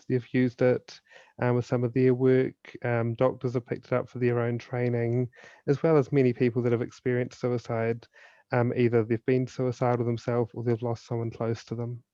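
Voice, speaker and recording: A man with a New Zealand accent, a guest author and suicide prevention speaker, explaining in an earnest, measured way. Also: medium pitch, warm tone, no room sound, lo-fi sound. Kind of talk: podcast interview, explanatory.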